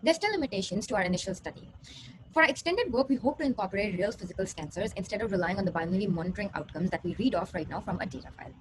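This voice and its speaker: A woman's voice: mid-range voice, lively intonation, rapid-fire. A young woman, a PhD student, giving a rehearsed research presentation. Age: young adult. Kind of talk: rehearsed conference talk. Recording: clearly noisy recording.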